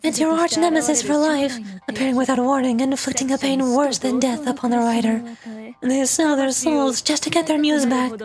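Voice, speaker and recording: A woman's voice: sits high, steady tone, moderate pace, a crowd in the background, natural voice. A woman playing a sly, composed, teasing fox-shrine lady in a video game, with a theatrical, self-assured delivery. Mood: wry, composed. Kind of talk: video game character dialogue. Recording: background music under game dialogue.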